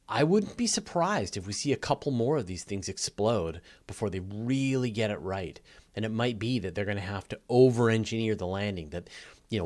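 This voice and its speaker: A man hosting a live question-and-answer session, talking casually and explaining with emphatic stress on key words. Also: lowish voice. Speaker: host of a live space Q&A.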